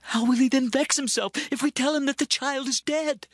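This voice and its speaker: Anxious, very high-voiced servant. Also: male voice, steady tone, brisk. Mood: fearful. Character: anxious royal servant in ancient Israel. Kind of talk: dramatized scripture audio acting.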